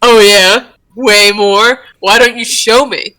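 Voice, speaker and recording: A woman's voice: medium pitch, fairly even intonation, slow, bright, forceful tone, rough recording. A woman voicing a playful male creature with a tail, talking in a casual, teasing and reassuring way in an amateur roleplay reading. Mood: challenging.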